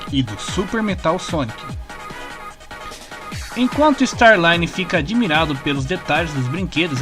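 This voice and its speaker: A man with a Brazilian accent reading a scripted retelling of a story as its narrator. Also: fairly high pitch. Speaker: narrator of comic story summaries. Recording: music clearly playing behind the voice. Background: background music.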